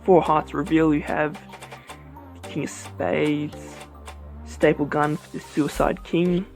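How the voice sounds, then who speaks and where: A fairly high-voiced man hosting a casual card review vlog. He talks in a relaxed, rambling way with plenty of "um"s, over faint music in the background. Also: takes it slowly, creaky. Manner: relaxed, rambling, many fillers.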